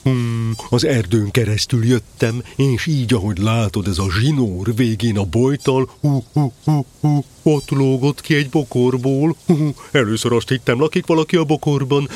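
Guy doing a pompous owl in an audio drama, mid-pitched, long-winded n self-important, hoots between phrases. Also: hardly any pauses, a little mellow, close to an everyday voice. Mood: rambling, explanatory. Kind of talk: audio drama character acting.

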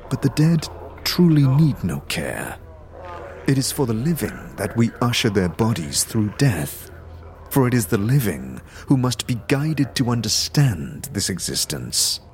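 A man playing a solemn Dustman elder in a fantasy audio drama, speaking in a measured, formal, philosophical manner. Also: on the low side. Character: solemn Dustman elder preaching oblivion and detachment from emotion.

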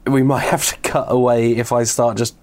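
A man's voice: medium pitch, flat delivery, moderate pace, darkish tone. A man co-hosting a podcast in casual chat, jokey and rambling, still a little congested from being ill. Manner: jokey, rambling, playful asides. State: recovering from illness, a little congested. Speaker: podcast co-host. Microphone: remote call setup.

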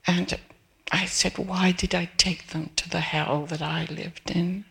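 An older woman in her seventies from Peru speaks with a gravelly, very raspy voice that holds a flat, even pitch. She talks hesitantly, with soft restarts, as an audience member at a live event. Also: unhurried. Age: 70s. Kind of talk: halting personal testimony.

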